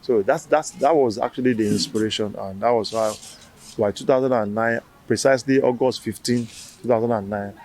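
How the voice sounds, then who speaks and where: A man's voice: medium pitch, fairly quick, a little mellow, slight background noise. A man with a Nigerian accent, a festival founder giving an interview answer, with many fillers and restarts.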